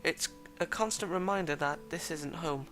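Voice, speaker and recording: A man reading as a gentle, polite blind young woman, speaking softly and courteously in a measured way over faint music. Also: on the high side.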